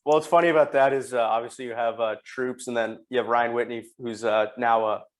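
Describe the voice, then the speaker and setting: A young man hosting a laid-back podcast interview. He talks quickly at a mid pitch and often restarts his sentences with a filler "uh." He keeps the conversation moving with a friendly manner. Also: steady tone. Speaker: podcast host.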